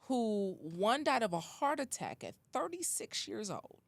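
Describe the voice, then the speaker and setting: A woman's voice: medium pitch, big pitch swings, unhurried, vocal fry, no room sound. A woman in her forties hosting a podcast in a studio, chatting casually with playful, laughing banter and slangy asides. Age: 40s. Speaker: podcast host. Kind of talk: casual chat.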